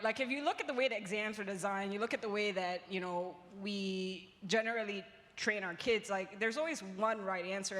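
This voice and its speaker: A woman's voice: mid-range voice, a bit bright. A woman from Singapore speaking casually and emphatically on a panel, sprinkling her talk with "like" and "you know". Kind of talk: casual panel discussion with anecdotes. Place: large echoey event space. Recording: reverberant hall, live event.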